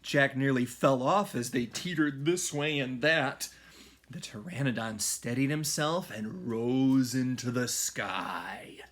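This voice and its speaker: A man reading a children's storybook aloud in a dramatic manner, often hushed for suspense. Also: mid-range voice, takes it slowly. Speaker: music teacher reading aloud.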